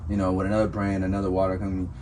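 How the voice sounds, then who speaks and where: A raspy-voiced man hosting a casual conversation, laid-back and rambling with lots of fillers. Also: deep voice, completely flat delivery, very fast, darkish tone, far from the mic, a little hiss or hum. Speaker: podcast host.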